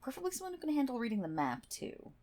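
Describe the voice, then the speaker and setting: A woman's voice: high voice, wildly swooping pitch, rapid-fire, darkish tone. A woman playing a prickly, sarcastic guild healer in an online game, scolding and swearing one-on-one in an ASMR roleplay. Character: brash, prickly tsundere MMO guild healer, a woman gamer.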